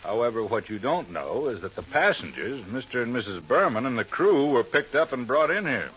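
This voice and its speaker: Man playing a lively-pitched Coast Guard captain. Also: brisk, a little hiss or hum, lightly acted. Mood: matter-of-fact. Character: matter-of-fact male Coast Guard captain. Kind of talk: 1950s radio drama acting. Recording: muffled vintage radio recording.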